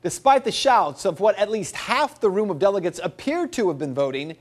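Man, news reporter, studio, skeptical, high animated voice. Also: brisk. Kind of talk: scripted news segment.

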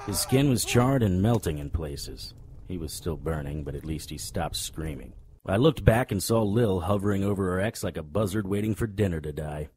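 A man's voice: low voice, lively intonation, moderate pace, darkish tone, slight background noise. An actor playing a hard-boiled, wisecracking private detective, narrating a noir radio drama in a wry, tough-guy manner. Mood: wry narration.

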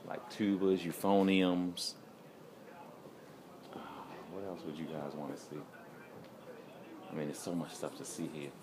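Excited deep-voiced man vlogging on a phone. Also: measured pace, long pauses. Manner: excited, enthusiastic, exclamatory. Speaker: vlogger touring an instrument factory. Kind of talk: casual walk-and-talk vlog. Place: large indoor factory hall and showroom. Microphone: phone held at arm's length. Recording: phone recording amid workshop crowd noise.

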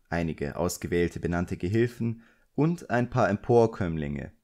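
A man's voice: low-pitched, a little mellow. A man voicing a fussy, caring middle-aged magician's wife, motherly and chatty, in an audiobook.

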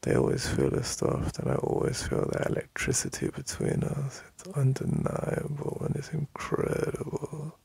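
A man with an English accent playing an affectionate, teasing boyfriend in an intimate ASMR roleplay, murmuring in a deep, breathy voice. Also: wildly swooping pitch, darkish tone, slight background noise, heavily acted. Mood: tender, drowsy. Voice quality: deep, breathy, husky, gravelly. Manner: slow, murmuring, with sighs and lingering pauses.

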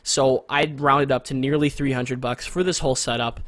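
A young man talks casually into a close condenser mic, rambling a little and repeating words for emphasis. His voice sits at an ordinary pitch, masculine without being deep. The sound is dry and close, recorded in a small bedroom. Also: steady tone, normal speed, fairly bright tone, no echo. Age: young adult. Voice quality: not very deep but masculine voice. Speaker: gear reviewer. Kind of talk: casual product walkthrough. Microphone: studio condenser mic with pop filter, close.

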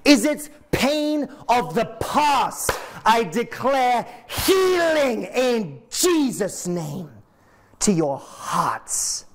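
A man's voice: very high voice, big pitch swings, very slow. A man leading fervent prayer, declaring healing with passion, recorded on a lapel mic in a studio. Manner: impassioned, sweeping arm gestures. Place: studio in front of a logo screen.

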